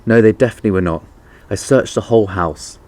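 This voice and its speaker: A man's voice: lowish voice, quick pace, a little mellow, close to an everyday voice. A man voicing an anxious, decisive father in a children's storybook, urgent and emphatic.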